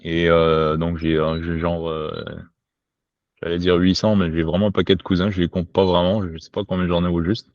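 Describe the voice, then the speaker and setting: Man from Quebec, low-pitched, informal slangy speech, podcast guest, dull dry home recording. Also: flat delivery, moderate pace, no echo. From Quebec, Canada. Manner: informal, slangy, swears casually. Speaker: podcast guest, survival instructor. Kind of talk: spontaneous casual conversation.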